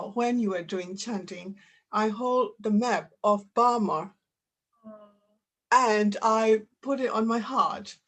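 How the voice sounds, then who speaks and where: A woman sharing earnest thanks and a personal experience in an online discussion, speaking over a video call. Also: on the high side. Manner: earnest, grateful. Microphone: video call microphone.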